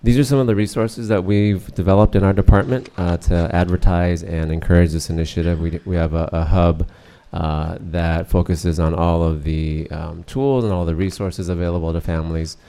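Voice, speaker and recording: A middle-aged man with a monotone delivery giving a formal presentation to a school board, with frequent hesitations. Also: low-pitched, normal speed, creaky, few pauses, smooth. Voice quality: monotone, many uh fillers.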